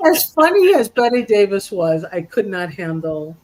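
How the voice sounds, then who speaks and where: Co-host for a casual spiritual roundtable, mid-range voice, rambling storyteller with an animated, teasing streak. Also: mid-range voice, normal speed. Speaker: roundtable co-host reading cards.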